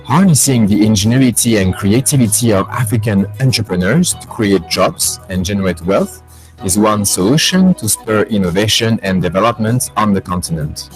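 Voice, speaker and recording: A man with a lowish voice delivers scripted documentary narration. His delivery is even and polished. Faint music plays beneath him. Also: normal speed, rough recording.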